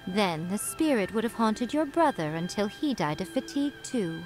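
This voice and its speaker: A woman's voice: medium pitch, very animated, normal speed, music in the background, lightly acted. A woman voicing a sly, wise fox-spirit shrine priestess in a video game, composed and lightly teasing as she lectures.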